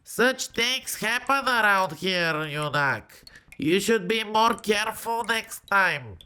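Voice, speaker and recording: An actor playing an officious, brusque and condescending chief constable in an audio drama. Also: male voice, high-pitched, animated, slow, bright, forceful tone, a little hiss or hum, exaggerated voice.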